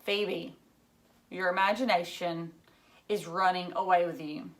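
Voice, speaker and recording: A woman's voice: fairly low pitch, fairly even intonation, measured pace, natural voice. A woman voicing a restrained, weary, easily embarrassed father in a children's novel read aloud. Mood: firm, patient.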